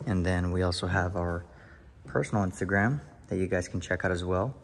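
A man's voice: low-pitched, brisk. A man touring a new house for viewers, then preaching in an upbeat, hyped way. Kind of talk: casual house-tour vlog turning to preaching. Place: empty new house, then its covered back patio.

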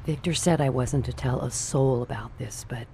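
Low-voiced, coy torch singer. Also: female voice, normal speed, mellow, slight background noise. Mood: confiding, excited. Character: lovestruck 1940s nightclub torch singer, coy and trusting. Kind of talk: old-time radio noir drama acting.

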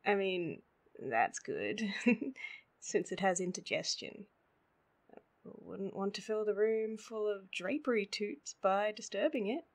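An Australian woman playing a sleepy, needy, affectionate girlfriend in an intimate ASMR roleplay, drowsy and murmuring, with a husky, breathy voice. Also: medium pitch, lively intonation, takes it slowly. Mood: playful, giggly. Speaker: voice actor.

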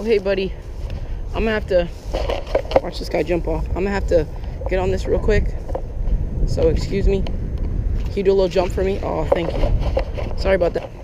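Young male fishing vlogger, high-pitched and excitable, action-cam audio with outdoor noise. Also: creaky. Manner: chatty, excitable, lots of "like" and "oh my gosh". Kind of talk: casual running commentary while fishing. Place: outdoors on a lake bank in Florida. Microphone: head-mounted action camera.

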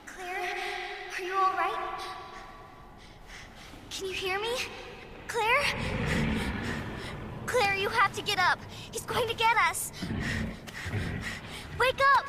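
A woman's voice: very high-pitched, steady tone, slow, long pauses, heavy background noise. A female performer playing a frightened young girl in a video game, pleading in distress. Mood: desperate. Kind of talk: video game cutscene acting.

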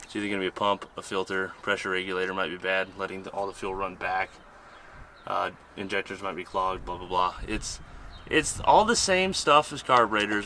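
A laid-back man with a lowish voice talks through a repair job, rambling a little and swearing casually. He sounds relaxed and hands-on, explaining as he works outdoors by a vehicle, with faint noise in the background. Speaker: host and mechanic.

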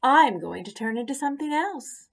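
A woman's voice: high-pitched, expressive, normal speed, vocal fry, pitched above the performer's own voice, heavily acted. A woman voicing a proud, boastful young female caterpillar in a storybook, speaking emphatically. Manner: emphatic, bragging delivery.

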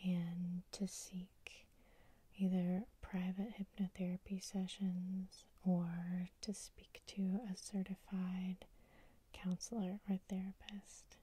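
A woman whispering softly as the host of an ASMR sleep hypnosis session, guiding the listener in a slow, soothing way. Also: lowish voice, flat delivery, husky. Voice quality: soft whisper.

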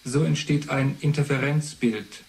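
An actor playing an impersonal information system, reciting entries in a formal, matter-of-fact way for a radio play. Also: male voice, mid-range voice, measured pace, darkish tone, slight background noise, close to an everyday voice. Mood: neutral, factual.

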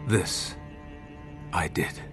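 An actor playing a solemn narrator of a video game prologue, grave and deliberate, with dramatic pauses. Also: male voice. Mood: solemn, reflective. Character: solemn male narrator reciting a reflective epigraph. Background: orchestral strings music.

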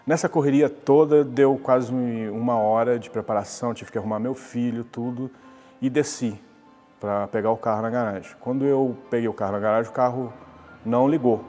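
A man's voice: mid-range voice, fairly even intonation, normal speed, dark tone. A man tells his story in an easy, conversational way, often restarting his sentences. His voice carries a long reverb from a hall, and faint music plays underneath. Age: 40s. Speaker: interviewee. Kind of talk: personal account in a TV interview. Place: glass-walled lobby, indoors.

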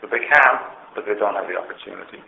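A man's voice: lowish voice, fast talker, creaky, bright, forceful tone. A man lecturing on sociology, explaining his argument step by step and often correcting himself mid-sentence. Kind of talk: university lecture. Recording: roomy, dull lecture hall recording with faint hiss.

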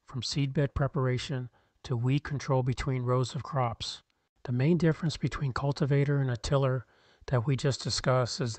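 A man narrates a scripted countdown with a steady, measured explainer delivery. His voice sits at an ordinary middle pitch and is recorded close and completely dry, with no room sound. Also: dry recording. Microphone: voice-over, close mic.

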